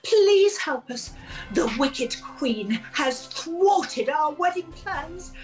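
A beseeching pantomime princess, earnest and melodramatic. Her voice is notably high, with faint music underneath. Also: expressive, extremely slow, exaggerated voice. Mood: pleading. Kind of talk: comic pantomime acting.

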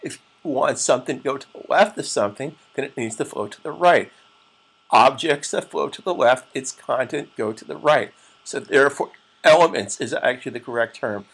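A middle-aged man with a raspy, strained voice, giving a patient step-by-step software tutorial as an instructor. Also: medium pitch, a little fast, low-quality recording. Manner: plain, patient step-by-step explaining with frequent "okay?". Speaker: web design instructor. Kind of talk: screen-recorded software tutorial.

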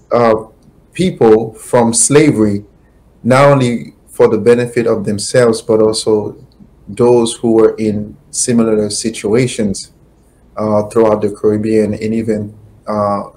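Slow, halting man on a video call. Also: lowish voice, darkish tone, low-quality recording. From Haiti. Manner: slow, halting, many fillers. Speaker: guest, attorney. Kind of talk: reflective interview answers. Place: home office, indoors. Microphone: webcam video call.

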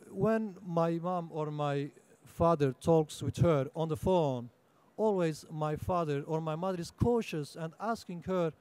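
A young man whose first language is Kurdish, speaking at a book-fair panel into a table microphone, halting with many fillers and restarts. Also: a little high, a little mellow, clear, resonant tone. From Turkey (Kurdish). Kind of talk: panel discussion talk. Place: conference room at a book fair.

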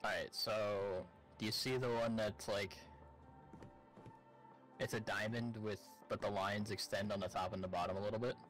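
Male gamer, lowish voice, casual and instructive, talking over faint background music. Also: fairly even intonation, normal speed, a bit bright, low-quality recording. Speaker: gamer, co-op partner giving directions. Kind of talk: casual gameplay chat.

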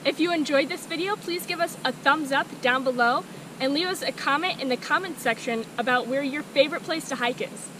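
A teenage girl presenting casually outdoors, relaxed and full of ums. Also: high voice, brisk. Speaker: family vlogger. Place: outdoors in a forest. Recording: clear outdoor noise.

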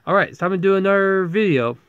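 A man's voice: sits high, lively intonation, quick pace, a bit bright, clear, resonant tone, dry recording. A man giving a casual, rambling movie review as a video host, exclaiming in exasperation and sometimes stammering over his words. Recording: dull, lo-fi close microphone.

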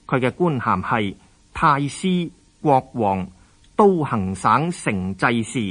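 Male narrator for historical radio drama, Hong Kong Cantonese accent, medium pitch, scripted storytelling. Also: fairly bright tone.

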